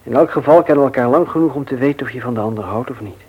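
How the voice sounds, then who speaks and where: Radio drama role: resigned, earnest lover, husky mid-pitched voice, halting delivery. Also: male voice, lo-fi sound. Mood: resigned, sad. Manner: halting, hesitant. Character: earnest, disappointed suitor, resigned and proud. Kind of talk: intimate radio drama dialogue.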